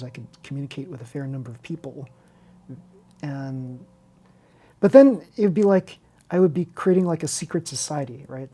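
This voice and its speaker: Husky woman chatting through a hobby build. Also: a bass voice, mellow. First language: Chinese. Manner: rambling, candid, self-interrupting. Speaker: hobby video host and teacher. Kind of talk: unscripted casual chat over a model car build tutorial.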